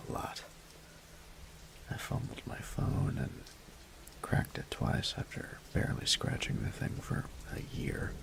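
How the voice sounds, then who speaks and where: A man acting as a teasing, affectionate young roommate in an intimate audio roleplay, murmuring in a gravelly, breathy half-whisper. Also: sits low, background noise, full character voice. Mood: tired, fond. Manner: playful, unhurried, murmured delivery.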